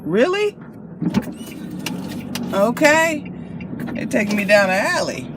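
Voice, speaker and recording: An American woman chatting casually to camera in an animated, playful, expressive way, like telling stories to friends. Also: a little high, takes it slowly. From Chicago, United States. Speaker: vlogger and actress. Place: inside a car. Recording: clear car and traffic noise.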